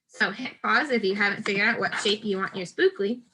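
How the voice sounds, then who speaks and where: A woman's voice: mid-range voice, a little fast, noisy background. A woman teacher giving a step-by-step craft lesson to first graders, speaking directly to children in simple, patient instructions.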